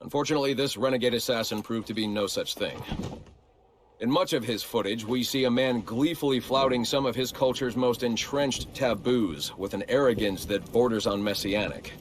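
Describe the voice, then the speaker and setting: An actor playing a smug corporate researcher in a video game, narrating a report in a measured, prim, condescending way. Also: male voice, mid-range voice, a little hiss or hum, natural voice. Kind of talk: scripted narration.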